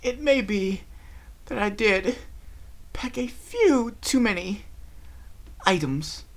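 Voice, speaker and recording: A man voicing a theatrical, fashion-obsessed female unicorn pony with an affected posh, breathy manner. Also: high voice, expressive, slow, voice pushed up, heavily acted. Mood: breathless, complaining. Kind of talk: amateur audiobook reading of fan fiction.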